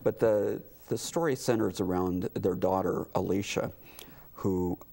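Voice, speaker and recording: A middle-aged or older man with a low, husky voice that creaks at the edges. He speaks as an interview guest, haltingly, pausing and trailing off as he explains his theater work. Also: mellow. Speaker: guest, community theater director. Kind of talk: talk show interview. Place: TV studio.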